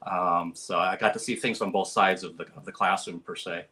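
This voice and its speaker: A man's voice: deep voice, fairly even intonation, brisk, bright, forceful tone. A man interviewing a guest, speaking earnestly and chattily with plenty of filler words. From United States. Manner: earnest, talkative, many ums and likes. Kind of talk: casual interview over video call.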